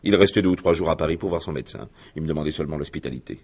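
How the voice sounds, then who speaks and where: An actor playing a haughty company director under questioning in a French radio crime drama, formal and disdainful with measured hesitations. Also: male voice, rumbling low, a little mellow, breathy edge, full character voice. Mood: guarded.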